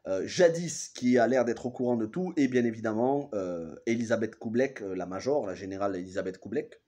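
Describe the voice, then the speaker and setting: A man's voice: on the low side, brisk, mellow. A man in his thirties with a cold, recapping a TV episode in a casual, hesitant way and often correcting himself mid-sentence. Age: 30s. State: has a cold. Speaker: TV series reviewer.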